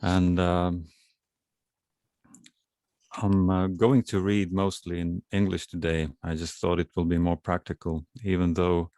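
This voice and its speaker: Male poet, very low monotone, calm, with pauses. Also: clean tone, dry recording. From Estonia. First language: Estonian. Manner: calm and unhurried, with many pauses. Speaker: guest poet. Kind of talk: casual talk before a reading.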